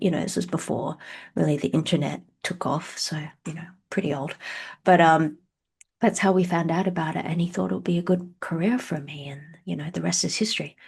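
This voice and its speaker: A woman's voice: deep voice, gravelly, husky. A middle-aged woman from Australia, a company CEO answering interview questions frankly, laughing often and using many fillers over a video call. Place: at home.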